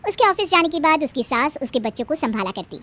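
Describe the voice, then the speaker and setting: A woman reading scripted narration as a storyteller for an animated family tale. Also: high voice, fast talker.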